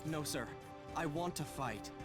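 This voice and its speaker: An actor playing a very young soldier, earnest, respectful and determined, in a fantasy video game. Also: male voice, fairly high pitch, a little slow, lightly acted. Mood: earnest resolve. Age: young adult. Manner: respectful, eager. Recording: quiet game audio under music.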